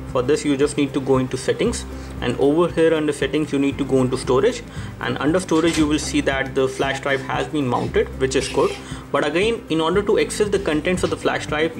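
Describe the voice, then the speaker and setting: Male tech reviewer, fairly high pitch, explanatory hands-on narration over noticeable music.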